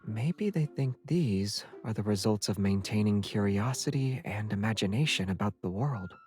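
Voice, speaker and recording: An actor playing a gentle, teasing young man from the stars in a video game, with music behind him. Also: fairly low pitch, lively intonation, normal speed, natural voice. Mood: calm, teasing.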